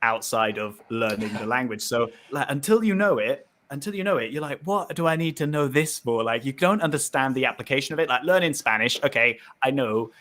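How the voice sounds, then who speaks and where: A man's voice: medium pitch, lively intonation, brisk, few pauses, fairly bright tone. A British man bantering in a casual live-stream chat over a video call, laughing often. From Britain. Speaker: co-host.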